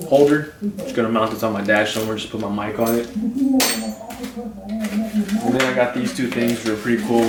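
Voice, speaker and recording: A man hosting a DIY video in casual, off-the-cuff speech, haltingly repeating and restarting phrases as he explains. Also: medium pitch. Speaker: DIY vlog host. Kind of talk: casual off-the-cuff how-to talk. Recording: roomy, distant mic, clear hum noise.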